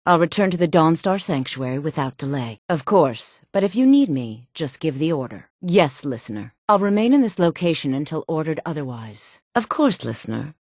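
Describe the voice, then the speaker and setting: A woman's voice: low voice, sing-song, moderate pace, hardly any pauses, a little mellow, no room sound, studio quality, exaggerated voice. An actress voicing a sultry, devoted female assassin in a fantasy video game, speaking with deferential intensity. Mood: devoted, submissive.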